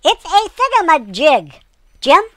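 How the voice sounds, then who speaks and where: A man voicing a squeaky, playful little creature puppet. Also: huge pitch swings, a little slow, background noise, full character voice.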